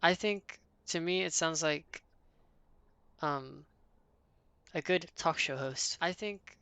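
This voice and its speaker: Rambling streamer with a gravelly, dry voice. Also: mid-range voice, takes it slowly, pushed and bright, no echo. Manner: rambling, thinking aloud while setting up. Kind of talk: casual live-stream chatter.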